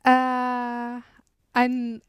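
Syrian woman with smooth, clear voice. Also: on the high side, monotone, extremely slow, no echo, clean studio sound. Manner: thoughtful, searches for words with frequent hesitations. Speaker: guest, TV journalist. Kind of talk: radio interview.

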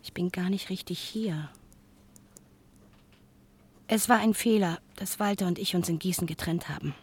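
An earnest, low-voiced mother writing home. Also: moderate pace, breathy edge. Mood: forlorn, estranged. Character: earnest mother reading her letters to her son. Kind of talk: German radio play monologue, letter narration.

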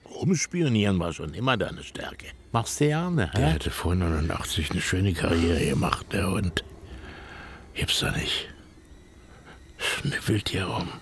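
An actor playing a stubborn, mocking older village man in a radio play, with a husky voice and a Berlin-Brandenburg accent, colloquial and blustering. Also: sits low, animated, a little slow, slight background noise. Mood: muttering, bitter. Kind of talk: naturalistic German radio play acting.

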